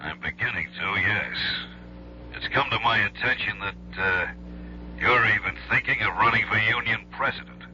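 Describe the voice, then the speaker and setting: An actor playing a smug, menacing union boss in a radio drama, condescending and coolly threatening. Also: male voice, fairly low pitch, moderate pace, lightly acted. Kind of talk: vintage radio drama acting. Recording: dull old radio recording with hum.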